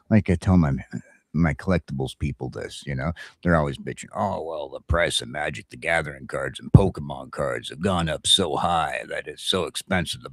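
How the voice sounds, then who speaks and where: A man's voice: sits low, no echo, clean studio sound. A man in casual chat as a talk show host, blunt and opinionated, swearing and often stammering over repeated words.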